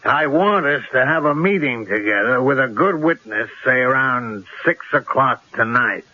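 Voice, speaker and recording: An actor playing a menacing, scheming crime boss with tough, streetwise talk in a radio drama. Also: male voice, mid-range voice, animated, normal speed, sharp tone. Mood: insistent, sly. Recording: dull old radio broadcast recording.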